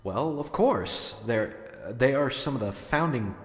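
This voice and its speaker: A man's voice: medium pitch, lively intonation, slow pace, echoey room, big-room sound. A man playing a hesitant, unsure professor in his thirties in a radio drama, stammering as he lectures. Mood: nervous, hesitant. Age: 30s. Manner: hesitant, stammering, nervous chuckles. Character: nervous, unsure young male philosophy professor.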